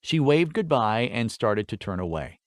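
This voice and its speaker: A man reads a fantasy audiobook with lively, wide-ranging intonation. His delivery is that of an expressive storyteller, and the sound is close and dry with almost no room in it. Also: mid-range voice, moderate pace, no room sound. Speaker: audiobook narrator. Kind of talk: scripted fantasy story narration.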